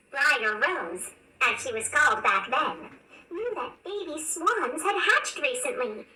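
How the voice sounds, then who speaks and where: A woman reading a children's storybook aloud in an expressive, animated storytelling manner. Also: high-pitched. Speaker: storybook narrator. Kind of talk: scripted children's read-along narration. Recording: roomy, distant, faint background noise.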